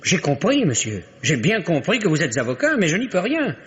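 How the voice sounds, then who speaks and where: An actor playing a harried, stubborn small-town registry clerk with a husky voice, in 1950s French radio drama. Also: male voice, on the high side, huge pitch swings, brisk, a bit bright, soft background music. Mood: insistent. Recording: dull, band-limited vintage radio recording.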